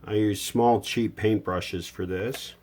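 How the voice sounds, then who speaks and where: A man's voice: lowish voice, fairly even intonation, slow pace, darkish tone. A man giving a casual hands-on how-to, explaining each step plainly and without hurry as a repair hobbyist.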